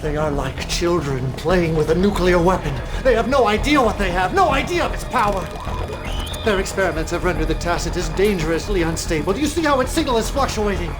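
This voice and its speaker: High-voiced messianic cult leader. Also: male voice, animated, rough recording. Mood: urgent, exultant. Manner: solemn, prophetic, deliberate. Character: messianic cult leader, grandiose, fatherly, fanatically devoted to prophecy. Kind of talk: dramatic video game cutscene monologue. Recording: voice over loud background music.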